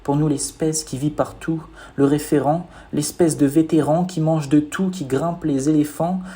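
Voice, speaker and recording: A man's voice: a little high, fairly even intonation, moderate pace, dark tone. A man reciting his own poem as spoken word, in a rhythmic, declamatory manner.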